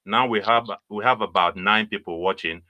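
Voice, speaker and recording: A man's voice: on the low side, normal speed, bright, forceful tone, dry recording. A young adult man, hosting a casual interview on a video call with friendly questions. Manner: friendly, laughing, plain questions. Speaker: host. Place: indoors, small room with red wall. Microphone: webcam mic on video call.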